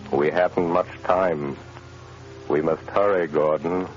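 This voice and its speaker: An actor playing a mysterious, commanding male avenger in a 1930s radio drama, with a booming, ominous voice. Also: fairly even intonation, slow pace, soft background music. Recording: muffled 1930s radio broadcast.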